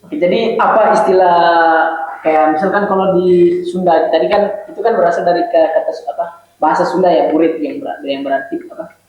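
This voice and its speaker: A man's voice: sits high, brisk. A young man from Flores hosting a casual chat and prompting the others with short remarks. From Flores, East Nusa Tenggara. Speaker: host. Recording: echoey room, dull sound.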